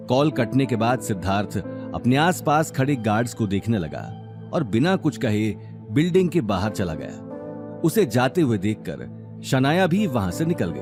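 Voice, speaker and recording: Animated male storyteller over music. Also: medium pitch. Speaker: audio story narrator. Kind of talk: dramatic scripted story narration.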